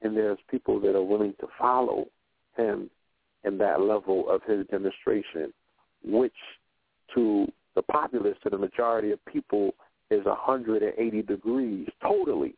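A man talking in a relaxed, reflective way on a radio roundtable, often stopping to ask "you know what I'm saying". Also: lowish voice, moderate pace, creaky, dry recording. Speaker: radio show guest. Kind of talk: casual roundtable talk. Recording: phone-like narrow line.

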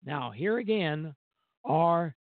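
A male radio host with a creaky, somewhat high-set voice reads music history from notes. He stumbles and corrects himself as he goes. The sound is dry and phone-like, with very narrow bandwidth. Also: animated, no echo. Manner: halting reading with stumbles and self-corrections. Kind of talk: music history commentary, partly read from notes.

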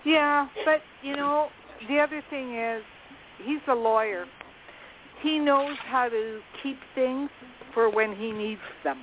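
A woman's voice: high voice, slow pace, slight background noise. A woman from Canada talking in a casual group podcast chat, hesitant with many ums and restarts. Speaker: podcast panelist. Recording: phone-like call audio.

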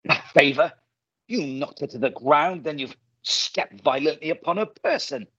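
A man playing an earnest, righteous, protective gentleman in a radio play, speaking formally and theatrically. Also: a little high, animated, normal speed, breathy edge, no echo, dull audio, exaggerated voice. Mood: indignant.

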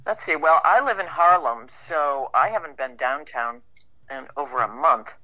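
A woman's voice: low-pitched, moderate pace, bright, forceful tone, lo-fi sound. A woman chatting casually as an interview guest, wry and anecdotal, easing hesitantly into her stories. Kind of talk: casual conversational chat.

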